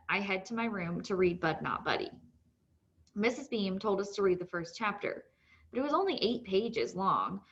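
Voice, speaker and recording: A woman narrates a children's novel at a medium pitch. She reads from the text as a storyteller, and the recording sounds somewhat dull and lo-fi. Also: fairly bright tone. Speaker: read-aloud narrator.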